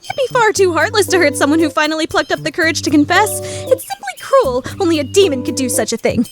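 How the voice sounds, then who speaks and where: A woman's voice: extremely high pitch, sing-song, fast talker, music in the background. A woman voicing a theatrical, easily flustered, scheming teenage girl in an anime fandub radio play. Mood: shocked. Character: dramatic, scheming, guilt-ridden teenage student girl.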